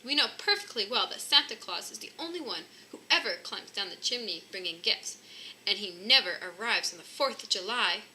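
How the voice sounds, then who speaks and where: Grumpy old grandpa bear, played by a woman: storybook elder, stern, insistent, lively intonation. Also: medium pitch, a little fast, a little hiss or hum, low-quality recording.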